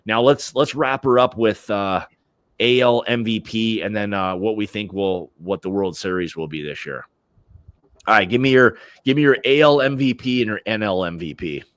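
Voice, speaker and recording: A man with an American accent and a fairly low voice hosts a casual sports chat. He is opinionated and slangy, speaking informally. The sound is dry, with little room on it. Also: moderate pace, fairly bright tone, dry recording. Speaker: host of a sports prediction livestream.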